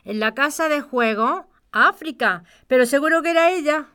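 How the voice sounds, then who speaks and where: A woman's voice: high-pitched, moderate pace, bright, forceful tone. An actress with a Spain accent playing a caring but exasperated working woman, speaking colloquially and expressively. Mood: concerned, placating. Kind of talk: audio fiction podcast acting.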